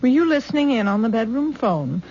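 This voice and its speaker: An actress playing a sensible older mother-in-law in a radio drama, talking in a brisk, no-nonsense way. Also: a little high, sing-song, mellow. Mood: firm. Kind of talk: 1970s radio acting. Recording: dull old radio broadcast, faint hiss.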